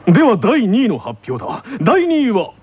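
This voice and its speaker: An actor playing a stern teenage tennis captain who hosts a radio drama show with formal, dramatic announcing. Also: male voice, high voice, wildly swooping pitch, normal speed, lo-fi sound, a little hiss or hum. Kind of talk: scripted comic radio drama hosting.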